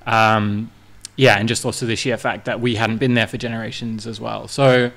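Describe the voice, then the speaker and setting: A man's voice: on the low side, little pitch movement, moderate pace, vocal fry, a bit bright. A young man from New Zealand, a musician guest talking casually and rambling, with many fillers and restarts. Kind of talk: casual interview chat.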